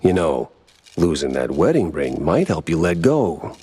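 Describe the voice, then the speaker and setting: Low-voiced wry cartoon detective. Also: male voice, a little slow, slightly rough, exaggerated voice. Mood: sardonic. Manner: wisecracking, deadpan. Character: wry hard-boiled cartoon mouse detective man. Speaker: private investigator protagonist. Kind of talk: 1930s noir cartoon game acting.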